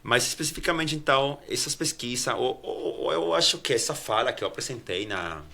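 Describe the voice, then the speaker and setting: Colombian man, Spanish first language, bright voice, academic guest answers with frequent restarts. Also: mid-range voice, expressive, gravelly. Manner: halting, many restarts and fillers. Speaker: guest professor.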